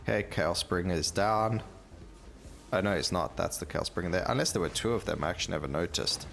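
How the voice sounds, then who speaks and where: Very deep-voiced, gravelly man commentating gameplay. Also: fairly quick, a bit bright. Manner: rambling, thinking aloud while playing. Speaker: gaming video host. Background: game music.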